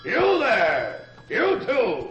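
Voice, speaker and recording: A gloating, mocking farmer in an old radio play. He is high-pitched and very slow, drawing out his taunts theatrically. The sound is echoey and lo-fi. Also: huge pitch swings, fairly bright tone, a little hiss or hum, heavily acted. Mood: calling, suspicious. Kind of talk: old-time radio acting.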